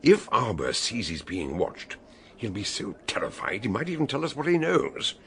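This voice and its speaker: A shrewd, genial gentleman sleuth in a radio drama. His British voice sits rather low and swoops in an animated way. He muses courteously, with theatrical relish. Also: normal speed. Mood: courteous, sly. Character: genial, shrewd, ponderous male gentleman detective doctor.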